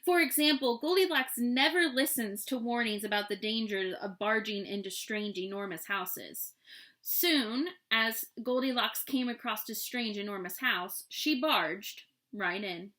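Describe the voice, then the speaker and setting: Bright-voiced, expressive woman storyteller. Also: on the high side, a little slow. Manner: expressive, playful, tongue-in-cheek. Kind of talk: children's storybook read-aloud.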